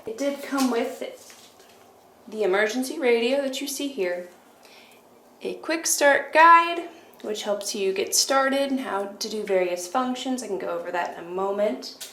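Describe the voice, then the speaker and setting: Higher-voiced woman demonstrating a product. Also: unhurried, bright, forceful tone. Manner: explanatory, step-by-step. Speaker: product review presenter.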